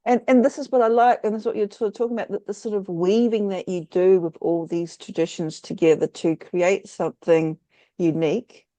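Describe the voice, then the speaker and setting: A podcast host, chatting casually in an interview with halting, reflective, rambling phrasing. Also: medium pitch, fairly even intonation, warm tone, no room sound. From Australia or New Zealand.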